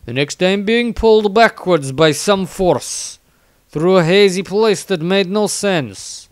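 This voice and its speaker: A man's voice: high-pitched, lively intonation, measured pace, no echo, clean studio sound, voice pushed up. A man voicing a baffled, blunt male fantasy fighter telling his storybook diary.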